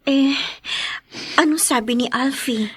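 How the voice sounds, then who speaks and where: High-voiced young Filipino woman, concerned friend. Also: slow, no echo. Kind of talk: Tagalog radio drama acting.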